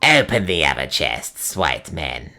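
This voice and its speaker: A woman voicing a malevolent ancient witch, croaking slowly and gloating as she talks. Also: a bass voice, sing-song, pushed and bright, pitched below the performer's own voice, full character voice.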